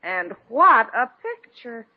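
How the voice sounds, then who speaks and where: Sardonic landlady, lively highish voice. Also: takes it slowly, exaggerated voice. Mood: nosy, wry. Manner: dry, sardonic, punchy. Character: dry, sardonic rooming-house landlady with teasing zingers. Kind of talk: 1950s radio comedy acting. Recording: dull old-time radio recording.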